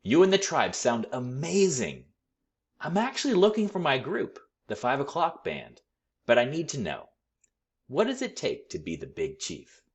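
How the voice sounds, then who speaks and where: A man's voice: medium pitch, wildly swooping pitch, normal speed, pitched above the performer's own voice. A man voicing an eager, earnest young boy bandleader in a storybook, calling out his lines. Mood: eager, excited. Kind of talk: storybook character voice.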